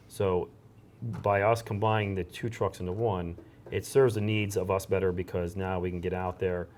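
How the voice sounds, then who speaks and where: A man's voice: lowish voice, slight background noise. A man speaking as an interview guest, a fire chief explaining things conversationally.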